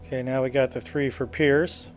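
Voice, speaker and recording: A man's voice: medium pitch, flat delivery, moderate pace, soft background music. A man giving casual gameplay commentary as he guides viewers through a video game.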